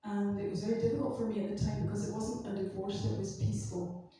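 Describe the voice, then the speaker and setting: Female church interpreter, mellow voice, distant, heavy chapel echo. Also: mid-range voice, steady tone, fairly quick, soft background music. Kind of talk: consecutive interpreting into English. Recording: echoey chapel from far off.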